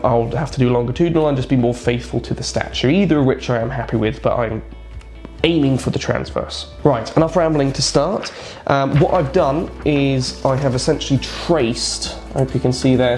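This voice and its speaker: A man's voice: medium pitch, a little mellow. Nasal man explaining a craft project. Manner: rambling, with frequent ums and self-corrections. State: nasal from a cold. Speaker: reenactor and craft maker. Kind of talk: casual how-to narration.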